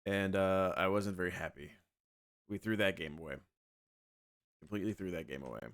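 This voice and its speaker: A young man streaming a game and chatting loosely with his viewers, his voice a little scratchy from yelling the night before. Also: low voice, normal speed. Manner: relaxed, rambling, talks to chat. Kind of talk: casual livestream chat.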